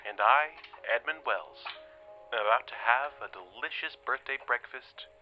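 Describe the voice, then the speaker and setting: A man playing a mild-mannered, sceptical astronomer in an audio drama, speaking in a measured way. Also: medium pitch, expressive, muffled recording, faint music behind, natural voice. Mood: warm, content. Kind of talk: modern audio drama acting with spoken log entries.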